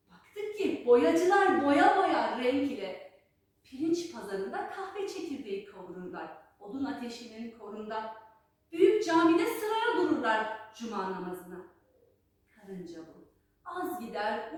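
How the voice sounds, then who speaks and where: A woman telling a children's folk tale as a storyteller, with rhythmic, rhyming, theatrical delivery. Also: sits high. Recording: very echoey space, distant microphone.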